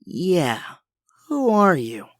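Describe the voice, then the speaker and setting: A man's voice: sits high, wildly swooping pitch, drawn-out words, fairly bright tone, dry recording, clean studio sound, exaggerated voice. A man playing an earnest, brainy young inventor hero in an audio drama, with precise, technical, polite speech. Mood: puzzled, analytical.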